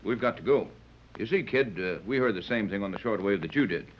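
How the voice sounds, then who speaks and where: An actor playing a gruff, wary American adventurer in a 1930s radio serial, with brusque, dry, slangy delivery. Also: male voice, on the low side, lively intonation, fairly quick. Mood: matter-of-fact. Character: gruff, wary, wisecracking adult American sea captain's type. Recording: muffled vintage 1930s radio recording.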